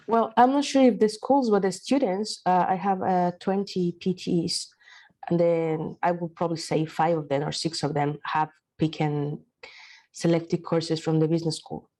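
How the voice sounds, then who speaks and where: A woman's voice: fairly low pitch, steady tone, moderate pace, dark tone. A woman from Mexico speaking in an online workshop discussion, hesitant, with many restarts and fillers. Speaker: workshop participant, university staff.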